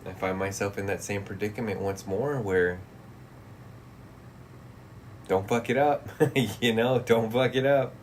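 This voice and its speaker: A man's voice: on the low side, measured pace, pauses often, slight background noise. A man in his late thirties chatting casually on a livestream, reading chat messages and replying in a laid-back, profane way that often trails off into mumbles. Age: 30s. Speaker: streamer.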